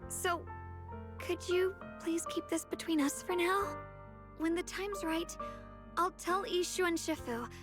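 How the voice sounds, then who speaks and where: Gentle anime game girl: high, youthful, earnest and hesitant, with music underneath. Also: steady tone, unhurried. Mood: pleading. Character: gentle, apologetic girl hiding a worsening secret. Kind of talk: anime video game voice acting.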